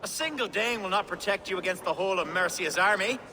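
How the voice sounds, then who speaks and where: A male actor playing a gruff, scornful Anglo-Saxon thane in a video game, blunt in manner. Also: high voice, fast, sharp tone, long reverb tail.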